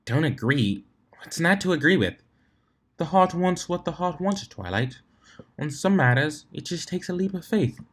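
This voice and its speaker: Storybook fashionista unicorn lady, voiced by a man: lifted pitch, fussy, theatrical, argumentative. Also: expressive, normal speed, fairly bright tone. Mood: insistent. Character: refined, fussy female unicorn pony fashionista.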